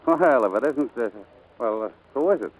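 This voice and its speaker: A man's voice: medium pitch, animated, normal speed, close to an everyday voice, low-quality recording. An American actor playing a brash, charming, dismissive male pilot in 1940s radio drama style. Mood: smooth, teasing.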